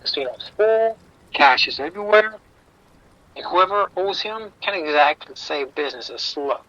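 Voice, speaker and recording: A man's voice: a little high, animated, moderate pace, bright, forceful tone, dull audio. An actor playing a streetwise male bookie informant, wry and defensive, talking around the point in an audio drama.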